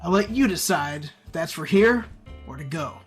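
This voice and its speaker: A man's voice: on the high side, animated, moderate pace, soft background music, natural voice. A man playing an earnest, generous do-gooder in an audio drama. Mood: earnest, insistent. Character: earnest, generous man nicknamed Santa, pleading for help.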